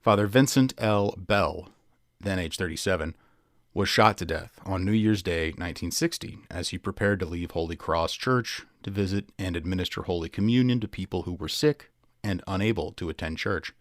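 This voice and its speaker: A man's voice: low voice, normal speed, no room sound, clean studio sound. A man reading a scripted true-crime narration as a podcast narrator.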